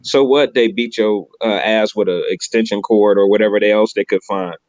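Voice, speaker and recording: A man's voice: on the low side, steady tone, normal speed, a little mellow, no room sound. A man in casual slangy banter, recorded with a slightly dull sound. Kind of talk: casual chat.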